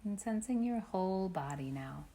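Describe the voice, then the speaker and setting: A woman meditation teacher leading a guided meditation with gentle, unhurried instructions and long pauses. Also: medium pitch, lively intonation, slight background noise.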